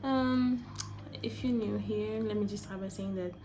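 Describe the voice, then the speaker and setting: A woman's voice: medium pitch, fairly even intonation, unhurried. A young woman from Angola whose first language is Portuguese, chatting casually in her vlog and often hunting for words and restarting her phrases. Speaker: vlogger.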